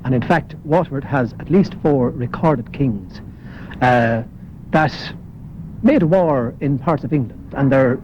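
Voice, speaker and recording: A man's voice: medium pitch, expressive, takes it slowly, darkish tone. An Irish man, a local historian, talking as he walks through old city streets, with many hesitant fillers. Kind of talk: walking interview. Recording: muffled 1980s broadcast with street noise.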